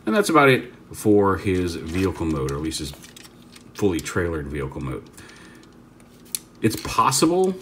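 A man's voice: low voice, huge pitch swings, moderate pace, long pauses, slight background noise. A man giving a casual hands-on toy review, rambling and stopping to correct himself as he handles the figure. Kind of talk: casual hands-on product demo.

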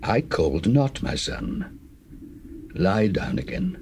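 Very deep, frail old priest. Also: male voice, big pitch swings, vocal fry, darkish tone, exaggerated voice. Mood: gentle, weary. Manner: slow, solemn. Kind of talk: dramatized Bible audio acting. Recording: noisy old cassette recording.

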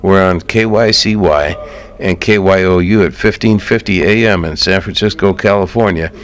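A man's voice: low voice, moderate pace, a little hiss or hum. A man with an American accent hosting a conversational radio interview in an easygoing, encouraging way. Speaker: radio show host.

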